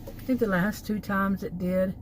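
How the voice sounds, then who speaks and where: A woman's voice: medium pitch, steady tone, moderate pace, far from the mic. A woman with a Texan accent chatting in a loose, rambling way, often stopping to correct herself. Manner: rambling, digressive, self-correcting. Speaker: vlogger. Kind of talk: casual rambling chat. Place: car. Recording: loud traffic noise, voice off-mic.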